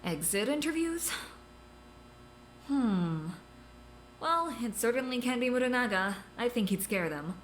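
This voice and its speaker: A woman's voice: a little high, expressive, measured pace, long pauses, slight background noise. A woman playing a blunt, scornful doctor who trades dry, cutting sarcasm. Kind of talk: audio drama acting.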